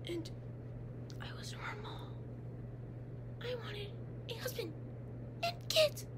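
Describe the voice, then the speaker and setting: Young woman doing a bratty jealous vampire girl, super high voice, snippy and dramatic, noisy webcam audio. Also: exaggerated voice. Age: young adult. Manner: blunt, sarcastic, dramatic, with whispered asides. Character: haughty jealous female teen vampire. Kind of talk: comedic roleplay to the listener. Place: dim bedroom, indoors. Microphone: webcam at close range.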